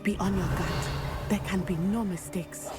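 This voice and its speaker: A woman's voice: mid-range voice, steady tone, natural voice. Woman acting a solemn, formal young witch, music behind. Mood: tense, determined. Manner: formal, measured speech. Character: solemn, brave teenage schoolgirl witch. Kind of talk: video game dialogue.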